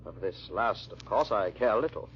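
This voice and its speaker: An actor playing a suave, haughty villainous husband in theatrical 1940s radio drama style, smooth and impatient. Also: male voice, lowish voice, animated, normal speed, a little hiss or hum. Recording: muffled vintage radio recording.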